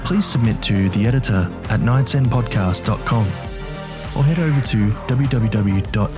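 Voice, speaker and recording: A man's voice: fairly low pitch, moderate pace, music in the background. A man reading scripted podcast credits as the show's announcer.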